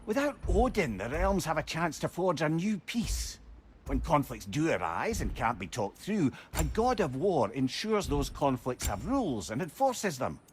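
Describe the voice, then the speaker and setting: An actor playing a wise, talkative advisor in a video game, eloquent and persuasive. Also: male voice, on the high side, big pitch swings, normal speed, a little hiss or hum. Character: wise, talkative, encouraging male companion and advisor. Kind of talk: dramatic video game acting.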